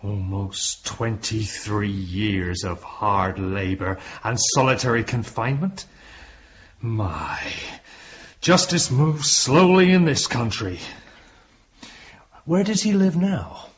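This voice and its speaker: Slow-spoken, sceptical Victorian gentleman. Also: lowish voice, expressive. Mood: disapproving. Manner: deliberate, probing, emphatic. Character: inquisitive, sceptical gentleman questioning a clergyman. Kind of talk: period radio drama acting. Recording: dull lo-fi audio.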